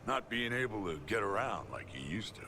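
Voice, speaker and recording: An actor playing a gruff male mercenary who talks in a dry, sardonic way. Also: on the low side, very animated, a little fast, bright, forceful tone, slight background noise.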